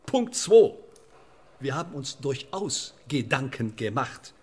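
An actor in a radio play voicing a pompous, pedantic sheriff who interrogates a suspect in stiff bureaucratic language. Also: male voice, mid-range voice, sing-song, takes it slowly, darkish tone, breathy edge, heavily acted. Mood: pompous, indignant.

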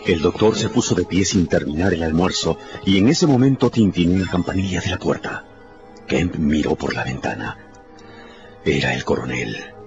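A man's voice: sits low, lively intonation, moderate pace. A man narrating a scripted story for radio in a dramatic storytelling style. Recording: dull sound with music behind.

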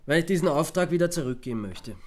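Actor as apologetic Austrian investigator, higher-pitched. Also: male voice, expressive, fast, lightly acted. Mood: frank, reluctant. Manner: apologetic, matter-of-fact. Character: awkward, polite, reluctant male private investigator. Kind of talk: radio play dialogue.

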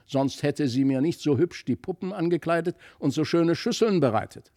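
A man's voice: fairly high pitch, moderate pace, dark tone, no room sound, studio quality, natural voice. A man voicing an earnest, timid little girl in a storybook, eagerly protesting. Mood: indignant. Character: little girl Christlieb, earnest, timid, sweetly indignant child.

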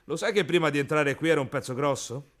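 An actor playing a crude, gleeful, bullying interrogator in a theatrical radio drama, moving between hushed menace and loud outbursts. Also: male voice, on the high side, fast, lightly acted. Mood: gleeful, cruel.

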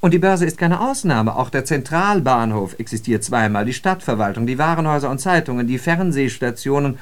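A man's voice: mid-range voice, animated, fairly quick, natural voice. A man acting in a radio drama as a worldly, ironic storyteller, speaking with theatrical emphasis. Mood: insistent. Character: worldly man telling ironic travel parables of New York. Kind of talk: scripted German radio drama monologue.

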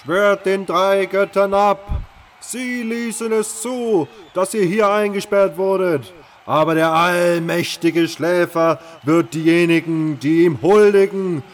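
Fanatic arena herald for a fantasy audio play, loud n slow, way up high, music under it. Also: male voice, a little hiss or hum. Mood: fanatical fervor. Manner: slow, loud, drawn-out proclaiming. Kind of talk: fantasy audio drama declamation.

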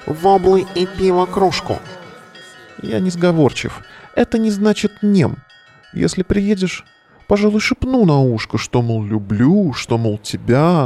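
Sardonic, world-weary bohemian, slightly high register, declamatory verse for audio drama, faint music underneath. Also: male voice, darkish tone. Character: weary, sardonic bohemian man talking on the phone. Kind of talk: theatrical verse monologue in an audio play.

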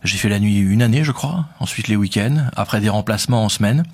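A man with a Parisian accent, a radio host interviewed about his career, talking quickly with many fillers. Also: on the low side, a little mellow. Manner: fast, hesitant with many fillers. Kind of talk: conversational interview.

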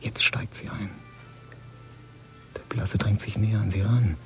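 An actor playing a tense, cynical gangster in a radio drama monologue, muttering in short clipped phrases that often sink toward a whisper. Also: male voice, on the low side, steady tone, a little slow. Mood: tense, suspicious. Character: tense, cynical male Moscow gangster watching a handover. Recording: studio radio play with prominent music. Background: music.

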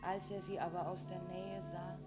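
An actress playing a woman who reads an old tale aloud in measured, deliberate storytelling, in a 1950s radio drama. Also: lowish voice, little pitch movement, loud music behind the voice, close to an everyday voice. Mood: solemn, measured. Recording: 1950s radio studio recording.

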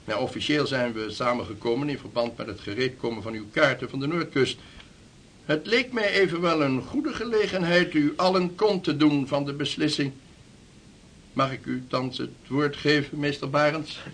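A dignified civic dignitary, chairs with ceremonious courtesy in a radio play. His voice is a bit high and formal, over faint hiss and rumble. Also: normal speed, fairly bright tone. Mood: formal, pleased. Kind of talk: historical radio drama acting.

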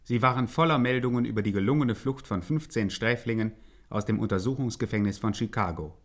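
Dry, exact announcer-narrator for a male actor, low voice, deadpan delivery, old-time scripted radio play. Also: fairly quick, close to an everyday voice. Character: dry, precise male co-narrator reporting details. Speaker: second narrator.

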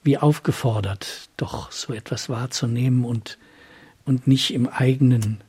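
Older gentleman, earnest and circumspect, very breathy husky, mellow voice, radio drama. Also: medium pitch, steady tone. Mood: earnest, troubled. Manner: halting, circumspect, formal. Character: earnest, troubled older gentleman seeking companionship. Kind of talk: improvised conversational radio drama.

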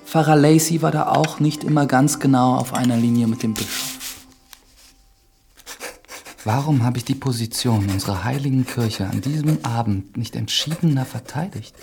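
An actor playing an earnest, pious priest with doubts in a German radio drama, measured and reflective, with a creaky voice. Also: male voice, mid-range voice, a little mellow, a little hiss or hum, lightly acted. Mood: hushed, troubled.

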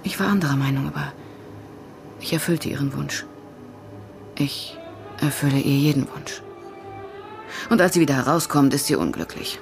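An actress playing a cool, enigmatic woman in a radio drama, speaking in a measured, quietly teasing way. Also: a bass voice, expressive, gravelly, full character voice. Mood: guarded. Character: cool, enigmatic woman in a noir bar, controlled. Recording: music behind the dialogue.

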